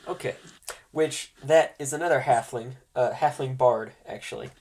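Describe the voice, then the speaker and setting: A man acting as game master with a congested, nasal voice from a cold, explaining things informally and haltingly as he hosts a tabletop roleplaying game. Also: medium pitch, darkish tone.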